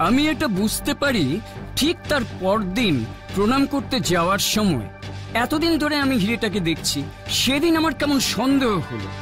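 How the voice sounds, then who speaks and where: A man's voice: extremely high pitch, big pitch swings, normal speed. A man voicing an anxious, courteous king and father in a children's cartoon, speaking formally over music. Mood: anxious, pleading. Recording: music playing behind the voice.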